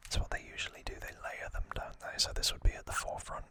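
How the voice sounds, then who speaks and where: A man hosting an unscripted ASMR unboxing in a breathy whisper, speaking in short, halting phrases with pauses. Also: bright, forceful tone. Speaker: ASMR video host.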